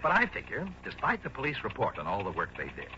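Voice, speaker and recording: A man playing an excitable, griping American insurance man who talks in emphatic slang in a 1960s radio drama. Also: fairly low pitch, quick pace, exaggerated voice. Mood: agitated, emphatic. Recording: dull, noisy old radio recording.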